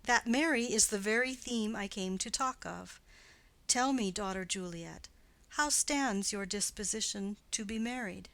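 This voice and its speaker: A woman voicing a stately noble mother in a dramatic play reading, measured and rather low and quiet. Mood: formal. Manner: measured, lower and quieter than the other parts.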